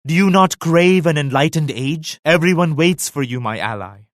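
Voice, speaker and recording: An actor voicing a devout, dignified Mughal emperor in a video game, speaking in a solemn, formal, measured manner. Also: male voice, fairly high pitch, dry recording, studio quality, close to an everyday voice. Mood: solemn counsel. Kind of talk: scripted video game character lines.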